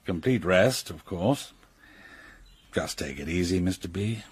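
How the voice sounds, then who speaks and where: Husky, jovial clinic doctor. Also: male voice, deep voice, lively intonation, unhurried, dry recording, exaggerated voice. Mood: breezy, reassuring. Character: smooth-mannered, jovial male health clinic doctor. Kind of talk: radio drama acting.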